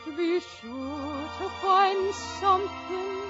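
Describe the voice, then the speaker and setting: A woman's voice: very high voice, takes it slowly. A woman singing in an operatic style for a 1950s radio musical. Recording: muffled vintage radio with orchestral music.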